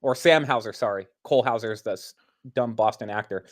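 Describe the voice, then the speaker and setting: A man giving casual basketball analysis and play-by-play, explaining things in a measured, analytical way. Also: lowish voice, very noisy. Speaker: basketball commentator.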